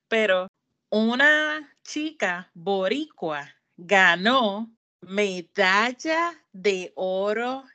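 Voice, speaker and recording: A woman, co-hosting a podcast in casual chat and talking in an expressive, emphatic way. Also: medium pitch, dry recording. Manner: expressive, emphatic, repeats for effect. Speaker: podcast co-host.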